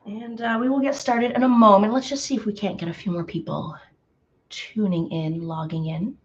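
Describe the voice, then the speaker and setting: Woman, slightly hoarse, painting instructor, chatty live stream, medium pitch, muffled audio. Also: steady tone, normal speed. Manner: chatty, greets viewers by name, frequent fillers. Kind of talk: live painting tutorial chat.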